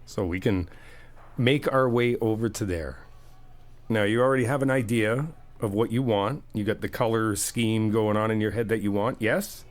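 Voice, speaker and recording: A man's voice: lowish voice, normal speed. A man doing a friendly ASMR roleplay, chatty and teasing, reassuring the listener as he helps out. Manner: reassuring, helpful.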